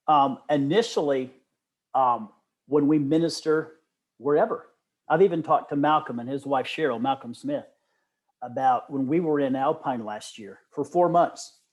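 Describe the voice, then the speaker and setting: Enthusiastic middle-aged man, slightly high-pitched voice. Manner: animated storyteller, often quoting others with emphasis. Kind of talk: casual, enthusiastic interview talk.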